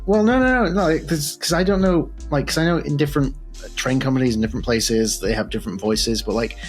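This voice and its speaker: A man's voice: mid-range voice, a little fast, faint music behind. A British man hosting a podcast with casual, playful interview chat. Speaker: podcast host.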